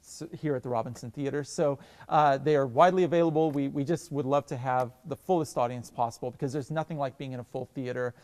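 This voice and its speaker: A man's voice: mid-range voice, steady tone, quick pace, darkish tone, in a large hall. A man, a theatre director, answering interview questions in a thoughtful, enthusiastic way with many fillers and restarts.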